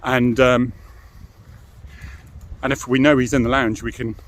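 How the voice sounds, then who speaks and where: Middle-aged man, British accent, informal explainer, frank delivery, medium pitch, rhetorical tag questions. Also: little pitch movement, a little slow, slight background noise. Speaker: former police firearms officer, presenter. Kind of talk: informal explanatory monologue to camera.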